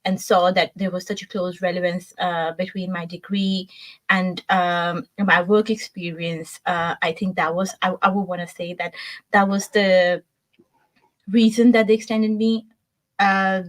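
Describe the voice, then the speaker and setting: A woman in her twenties from Pakistan, English not her first language, answering interview questions with frequent hesitations. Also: on the low side. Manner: soft-spoken with frequent "uh" hesitations. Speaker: guest. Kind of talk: casual interview.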